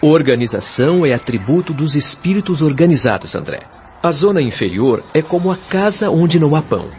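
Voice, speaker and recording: Man acting as solemn, expressive Brazilian spirit mentor. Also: on the high side, fairly quick, a little hiss or hum. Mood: calm, authoritative. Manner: didactic, articulate, solemn. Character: patient, authoritative male spirit guide and mentor. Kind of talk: audio drama acting, expository dialogue. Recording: dull lo-fi audio over music.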